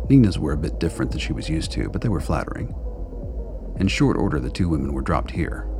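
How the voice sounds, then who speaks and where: Super deep creaky guy narrating a radio play, slow-burn noir style, music playing behind. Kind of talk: scripted dramatic narration.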